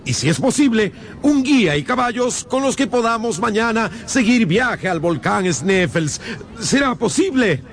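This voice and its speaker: An actor playing an impatient, commanding scientist uncle in a radio drama, with an emphatic, bossy delivery. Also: male voice, sits high, expressive, unhurried, slight background noise. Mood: polite, weary. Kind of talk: Spanish-language radio drama acting. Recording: loud music behind the voice. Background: dramatic music.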